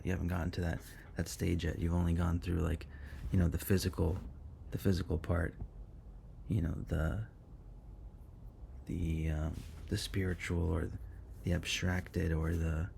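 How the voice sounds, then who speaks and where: A man's voice: sits low, flat delivery, moderate pace, gravelly, darkish tone, a little hiss or hum. A man whose first language is Arabic, teaching an informal lesson with many fillers and self-corrections.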